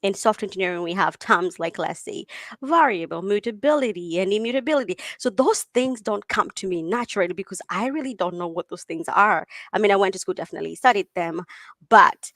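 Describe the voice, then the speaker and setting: Animated East African woman, dry sound. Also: mid-range voice, brisk, no room sound. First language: Swahili. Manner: eager, animated storytelling with laughs. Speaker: guest tech founder. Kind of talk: live interview answers.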